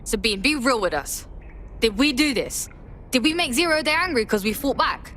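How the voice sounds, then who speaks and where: A brash young London hacker woman from a video game, high-voiced and very animated. She is slangy, blunt and sweary, with faint noise behind her. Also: moderate pace. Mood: blunt, keen. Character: brash, street-tough young female hacker activist.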